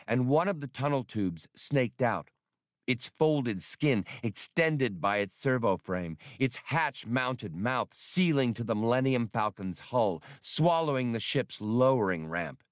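A man's voice: medium pitch, no echo, studio quality. A man reading a novel aloud as an audiobook narrator, in a scripted storytelling style.

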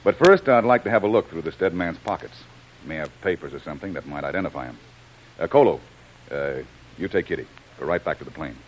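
An actor playing a brisk, confident government agent in an old-time radio adventure, clipped and businesslike. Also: male voice, deep voice, expressive. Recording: dull old radio recording.

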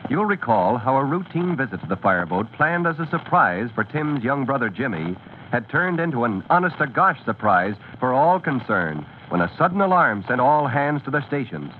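A man's voice: mid-range voice, sing-song, normal speed. A man narrating a scripted radio serial as its announcer, dramatic and declamatory in an old-time style. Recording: tinny scratchy vintage radio recording.

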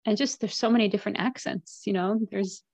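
A woman's voice: medium pitch, fairly even intonation, brisk, vocal fry, breathy edge, no echo. A woman hosting a casual interview podcast, encouraging her guest with frequent affirming murmurs. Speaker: podcast host.

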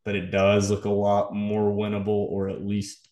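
A man's voice: lowish voice, completely flat delivery, normal speed, mellow. A man co-hosting a sports podcast, giving casual analysis in a conversational way.

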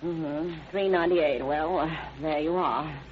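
An actress playing an easygoing store customer in an old radio drama, speaking casually. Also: female voice, low voice, steady tone, measured pace. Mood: relaxed, agreeable. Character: easygoing female sweater shopper. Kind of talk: 1940s radio crime drama acting. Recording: muffled old radio recording with hiss.

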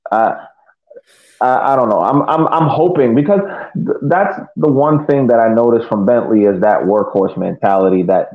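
A man giving casual match analysis as a podcast panelist, thinking aloud haltingly and repeating words. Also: on the low side. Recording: thin, phone-like connection.

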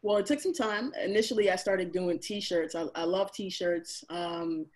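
A woman in her thirties from Barbados, a chef guest telling stories in a casual, animated way with many fillers. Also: medium pitch, a little slow. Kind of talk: casual interview chat.

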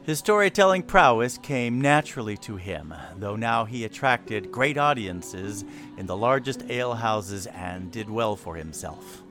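A man's voice: lowish voice, lively intonation, unhurried, a bit bright. A man narrating a scripted fantasy audio drama in the role of storyteller. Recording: clear music behind the voice. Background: music.

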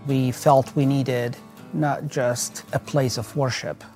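A middle-aged man from Syria speaking in a measured way in an interview, with fillers. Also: mid-range voice, little pitch movement, darkish tone, faint music behind.

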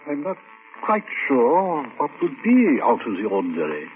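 A man's voice: mid-range voice, huge pitch swings, normal speed. An actor playing a reserved, plain-spoken middle-aged male neighbour in a vintage radio drama, cautious and clipped in his answers. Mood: cautious recollection. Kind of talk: 1940s-1950s radio drama acting. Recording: tinny, hissy vintage radio recording.

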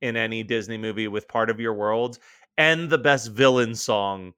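A man's voice: on the low side, steady tone, moderate pace, sharp tone, clean tone, dry recording, studio quality. A man co-hosting a casual podcast, talking eagerly about songs and now and then singing lines. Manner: enthusiastic, breaks into singing show tunes. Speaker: podcast co-host. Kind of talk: casual chat.

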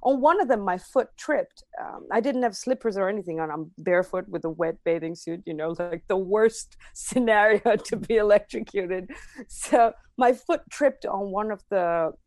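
A woman's voice: mid-range voice, moderate pace, a little mellow, dry recording. A woman, a podcast guest telling a personal story in a rambling way. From Armenia.

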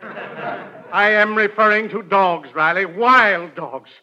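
High-pitched, pompous melodramatic uncle. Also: male voice, slow pace, bright, forceful tone, a little hiss or hum, exaggerated voice. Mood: alarmed, indignant. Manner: pompous, overblown, formal wording. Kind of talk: 1940s radio sitcom acting. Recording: dull, lo-fi vintage radio recording.

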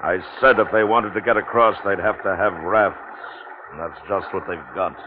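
An actor playing a commanding, defiant plantation owner who barks orders in a 1950s radio drama. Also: male voice, low voice, moderate pace, phone-quality sound, natural voice. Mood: grimly confident. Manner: forceful, barking orders. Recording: noisy, band-limited 1950s radio recording.

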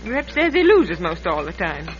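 An actress playing a worried, plain-spoken frontier wife, plaintive and hesitant, in old-time radio style. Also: female voice, fairly low pitch, very animated, normal speed. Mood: anxious. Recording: muffled vintage radio recording, crowd murmur behind.

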